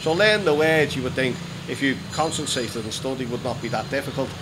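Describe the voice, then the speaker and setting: A man's voice: medium pitch, a little fast. A British man in his fifties from England, chatting as an interview guest, telling stories and explaining things casually and repeating himself a little. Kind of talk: casual conversational storytelling. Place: small decorated indoor room. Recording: noisy room with background hum.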